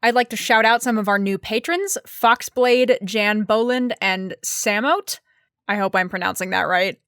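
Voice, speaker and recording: A woman's voice: medium pitch, steady tone, a little slow, a bit bright, clear, resonant tone, no echo, clean studio sound. A woman reading a scripted show announcement as a podcast's writer and director.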